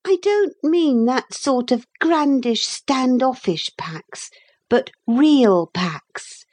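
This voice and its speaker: A storybook little girl, as a woman narrator plays her, pitched high and full of earnest insistence. She speaks in proper, old-fashioned phrases with childlike emphasis, persuading stubbornly and getting excitable. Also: drawn-out words, exaggerated voice. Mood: pleading.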